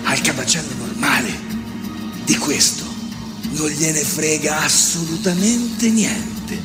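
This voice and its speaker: Lively high-voiced man reading over music. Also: takes it slowly. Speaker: reader. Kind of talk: dramatic reading of an essay. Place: radio studio. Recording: radio broadcast with music behind.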